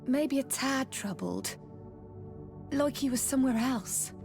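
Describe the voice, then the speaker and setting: Frank sailor, music behind. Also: female voice, high voice, slow pace, vocal fry, full character voice. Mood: frank, mildly concerned. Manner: colloquial, frank. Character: plain-spoken, loyal female sailor, easygoing. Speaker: voice actor in a video game. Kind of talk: scripted fantasy game dialogue.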